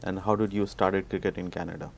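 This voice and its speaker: A man's voice: deep voice, level tone, quick pace, gravelly, a little hiss or hum. A man hosting a sports talk show, asking questions and patiently explaining the basics of the game. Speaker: show host. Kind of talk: interview hosting.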